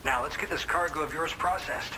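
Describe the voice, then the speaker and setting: Higher-voiced, welcoming outpost worker. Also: male voice, a little fast, pushed and bright, a little hiss or hum. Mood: welcoming, impressed. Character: keen, welcoming male outpost worker, forthright and businesslike. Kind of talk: scripted video game cutscene acting. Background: music.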